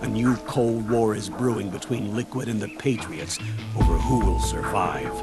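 An actor playing an older colonel in a video game, briefing in a measured, grave, authoritative way. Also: male voice, on the low side, loud music behind the voice, close to an everyday voice. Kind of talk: video game cutscene acting.

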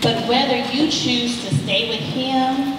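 A woman's voice: sits high, steady tone, a little slow. An actress on stage playing an earnest, encouraging female counselor who speaks in an emphatic, preachy, reassuring way. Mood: gently frank. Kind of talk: stage play acting. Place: indoor theater stage living-room set. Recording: echoey theater stage, distant, noisy.

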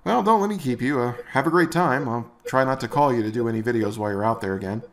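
A man's voice: medium pitch, fast. A man hosting a comedic commentary video, sarcastic and exasperated as he rants. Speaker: host.

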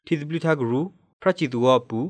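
A man's voice: a little fast, no echo. A man reading scripted radio news. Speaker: news reader.

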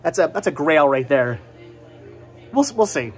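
A man's voice: fairly high pitch, expressive, a little slow, husky, soft background music. A middle-aged man hosting a casual vlog in a shop, rambling and repeating words for emphasis, recorded on a camera held at arm's length. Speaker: host. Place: inside a small cluttered movie and game shop. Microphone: phone or camera at arm's length.

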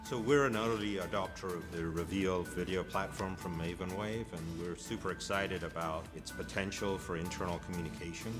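A man's voice: deep voice, moderate pace, hardly any pauses. A man speaking as a company representative in a promotional video interview. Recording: music bed, reverberant hall playback. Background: music.

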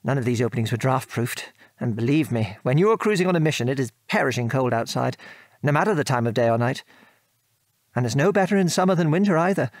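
A man's voice: medium pitch, animated, fast, no room sound, clean studio sound, natural voice. An actor playing an affectionate British father and former wartime air force officer, patiently reminiscing in an audio drama. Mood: fond reminiscing. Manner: chuckling, patient, old-fashioned British turns of phrase.

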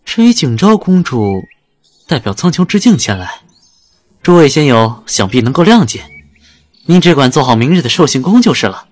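A man's voice: mid-range voice, very animated, moderate pace, dry recording. A man acting in an audio drama as a dutiful young disciple, speaking formally and respectfully to his master. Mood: reassuring. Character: earnest, dutiful young male disciple of an immortal.

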